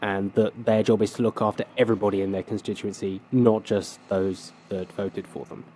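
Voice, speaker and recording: Brief: male co-host, low dark voice, dry wry questions, faint background hiss. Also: little pitch movement, moderate pace. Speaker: podcast co-host. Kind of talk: casual chat.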